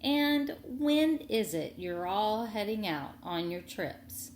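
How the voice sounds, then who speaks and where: A woman's voice: medium pitch, lively intonation, slow pace, a little mellow, echoey room, slight background noise, voice pushed up. Woman playing an oily, drawling, greedy male villain. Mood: falsely pleasant. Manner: drawling, falsely polite, insinuating. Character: smug, nosy, greedy man, oily villain.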